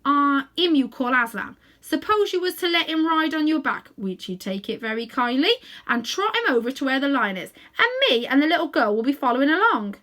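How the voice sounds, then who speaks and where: A woman reader acts out a working-class cabbie man. He is a kindly, plain-spoken storybook fellow who talks in chatty, emphatic bursts, high in pitch and very animated. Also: fairly quick, few pauses. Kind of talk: children's storybook character reading.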